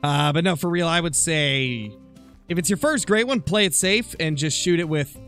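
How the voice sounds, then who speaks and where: A man's voice: on the high side, animated, moderate pace, fairly bright tone, soft background music. A man streaming a game, chatting casually and thinking aloud as he answers viewers. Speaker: live streamer, gamer. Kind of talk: casual livestream chat over gameplay, answering viewer comments.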